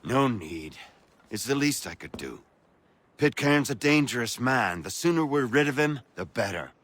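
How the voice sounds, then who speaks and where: A man's voice: mid-range voice, big pitch swings, normal speed, fairly bright tone, a little hiss or hum. An actor playing a shrewd, genial revolutionary statesman in a game cutscene, talking persuasively and pragmatically. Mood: businesslike. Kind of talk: period video game cutscene acting.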